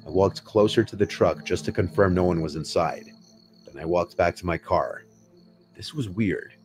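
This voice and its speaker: A man narrating a scripted first-person scary story in a measured, unhurried way. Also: deep voice, darkish tone. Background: music behind the voice.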